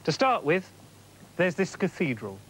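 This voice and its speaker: Young male presenter, animated delivery, backing music, slightly higher voice. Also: unhurried, a little hiss or hum. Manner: clear, explanatory. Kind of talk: scripted documentary narration. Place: outdoors by a cathedral. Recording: narration over music.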